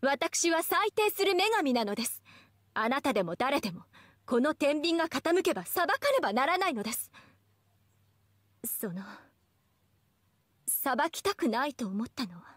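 A haughty anime game goddess, speaks in a high, young, elegant voice. Her formal phrasing swoops with proud, teasing expression, recorded very dry. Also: big pitch swings, normal speed, pauses often, a bit bright, no room sound. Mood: resolute, tender. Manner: refined, formal ladylike speech. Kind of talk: scripted anime game voice acting.

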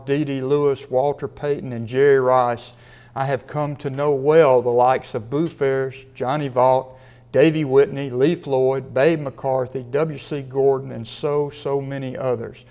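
A man in his sixties from Mississippi, a sports writer delivering a prepared acceptance speech in a measured way with a dry wit. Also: medium pitch, steady tone, darkish tone. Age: 60s. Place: studio with a sponsor backdrop.

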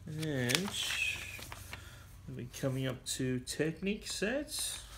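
A man's voice: on the high side, lively intonation. A man casually showing off and reviewing a vintage building set, rambling with fillers, in a cluttered toy room. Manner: unhurried, rambling, with frequent fillers. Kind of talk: casual show-and-tell commentary. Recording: noisy home video.

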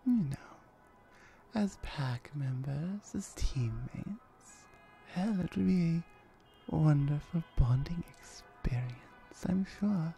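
Flirtatious female hellhound acted by a woman for ASMR roleplay: confident, unhurried, creaky, lingering whispers. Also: low-pitched, expressive, mellow, faint music behind, exaggerated voice. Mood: suggestive. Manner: slow, suggestive, lingering, with breathy whispers. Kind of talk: roleplay ASMR audio drama.

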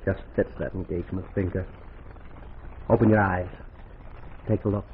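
Actor as low-voiced, swaggering Old West gambler. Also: male voice, level tone, moderate pace, natural voice. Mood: persuasive. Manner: coaxing, swaggering, folksy grammar. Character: smooth-talking, cocksure young frontier gambler courting a woman. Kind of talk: 1940s radio drama acting. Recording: muffled, noisy 1940s radio recording.

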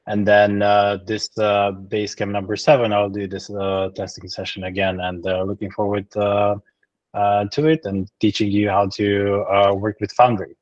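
A man's voice: lowish voice, flat delivery, normal speed, dry recording. A young man from Romania, introducing himself as a trainer on a video call, hesitant with many fillers. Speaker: course trainer. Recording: dull dry call audio.

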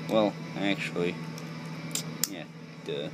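A man's voice: deep voice, takes it slowly, background noise. A young man giving a casual, off-the-cuff build tutorial in a rambling, jokey, self-deprecating way. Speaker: toy build video host.

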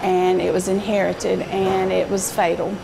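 A woman in her fifties from Alabama with a Southern accent, telling her story in an interview inside a small restaurant, earnest and halting at times. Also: medium pitch, level tone, gravelly, noisy background. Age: 50s. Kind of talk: emotional interview. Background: music.

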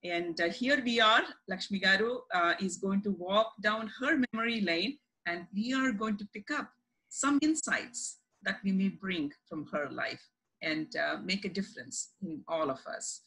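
A woman's voice: medium pitch, measured pace, sharp tone, rough recording. A middle-aged woman hosting an interview over a video call, graciously welcoming her guest with frequent fillers. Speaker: host. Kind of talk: interview introduction. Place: home room, indoors.

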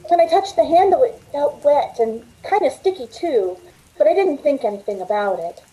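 Woman acting weary carhop, high mellow voice. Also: animated, moderate pace, a little hiss or hum, rough recording. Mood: uneasy. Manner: world-weary, confiding. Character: weary, wistful 1940s Hollywood carhop telling her story. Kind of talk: old-time radio drama acting. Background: rain.

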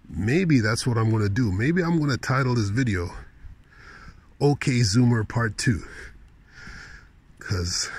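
Husky man rambling casually. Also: fairly low pitch. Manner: rambling, incredulous, slangy. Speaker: vlogger. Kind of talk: casual rambling monologue while walking. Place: outdoors at night on a park path. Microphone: handheld phone.

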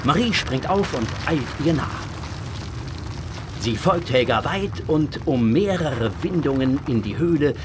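A man tells a children's adventure as a scripted narrator. His mid-pitched voice moves expressively up and down. There is faint ambient noise behind him. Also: lively intonation, unhurried. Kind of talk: dramatic scripted narration for a children's audio story.

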